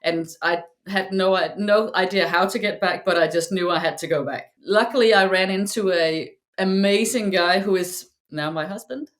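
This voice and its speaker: Danish woman, lowish voice, halting. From Denmark. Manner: halting, frequent restarts and repeated words. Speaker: guest. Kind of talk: casual chat.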